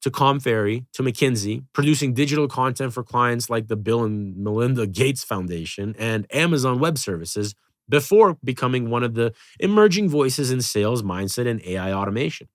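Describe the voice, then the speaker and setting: Energetic male podcast host, mid-range voice, dead-dry studio sound. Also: mid-range voice, moderate pace, no echo. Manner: energetic, uses fillers like "you know". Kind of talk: scripted intro then casual interview.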